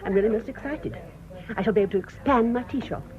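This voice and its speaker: Actress doing prim older english spinster, self-important, talks quick, dull radio drama recording. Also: female voice, fairly high pitch, a crowd in the background, natural voice. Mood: pleased. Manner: precise, formal, fussy. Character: prim, genteel, self-important spinster tea-shop owner.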